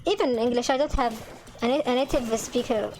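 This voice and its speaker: A woman's voice: a little high, darkish tone, a little hiss or hum. Young woman with an Arabic accent. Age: young adult. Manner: halting, many restarts and fillers. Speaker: gaming channel host. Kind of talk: casual chat over gameplay.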